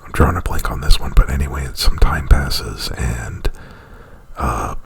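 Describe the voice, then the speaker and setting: A man whispering an ASMR retelling of a movie plot right up at the mic, in a halting, rambling, informal way. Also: very deep voice. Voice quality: breathy whisper. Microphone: very close to the mic.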